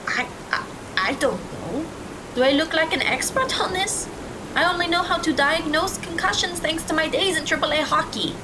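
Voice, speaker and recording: A woman playing a careful, matter-of-fact teenage girl in an audio drama with rumbling noise. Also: lively intonation, normal speed. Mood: flustered. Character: sensible, careful teenage girl, former hockey player.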